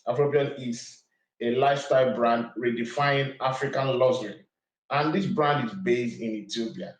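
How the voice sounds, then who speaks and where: A man's voice: mid-range voice, moderate pace, roomy sound, rough recording. A man hosting a podcast, introducing his guest and asking questions formally and politely. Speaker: podcast host. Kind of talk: interview hosting.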